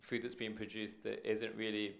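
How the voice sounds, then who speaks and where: A man's voice: on the low side, level tone, moderate pace, vocal fry. A man in the audience asking a question, hesitant with "um" and "uh".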